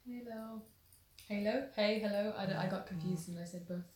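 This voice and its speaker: A woman's voice: mid-range voice, normal speed, a little mellow. A young woman chatting casually, recorded in a roomy space with noise behind her. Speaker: streamer's housemate. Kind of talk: casual chat. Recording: echoey room with clear background noise.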